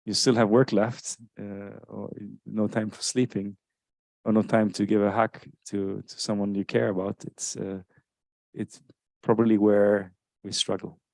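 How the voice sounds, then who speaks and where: Low-voiced man explaining to an audience. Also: level tone, a little slow, vocal fry, darkish tone, no room sound. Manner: thoughtful, explanatory, often tags with "right?". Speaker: author answering audience questions. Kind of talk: live book launch Q&A. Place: live event space with audience.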